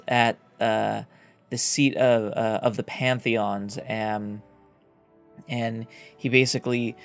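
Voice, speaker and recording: Casual male gaming commentator over music. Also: medium pitch. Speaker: gaming video host. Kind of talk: casual explanatory game lore commentary.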